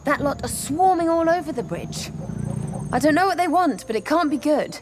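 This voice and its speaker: An actress playing a wary, blunt horned tiefling scout in a video game, speaking with streetwise urgency. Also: female voice, sits high, very animated, normal speed, background noise. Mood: wary, urgent. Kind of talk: dramatic video game cutscene acting.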